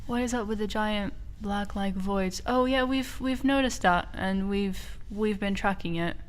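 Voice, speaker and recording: A woman's voice: medium pitch, measured pace, clean tone. A woman who grew up in Portugal, English not her first language, reading viewer questions aloud and answering them plainly on a game developer livestream. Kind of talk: casual livestream Q&A.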